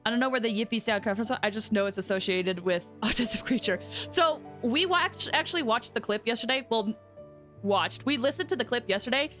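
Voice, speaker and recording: A woman casually commentating on a live game stream, chatty and excitable, close on the microphone. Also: on the high side, a little fast, faint music behind. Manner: chatty, excitable, swoops into squeals.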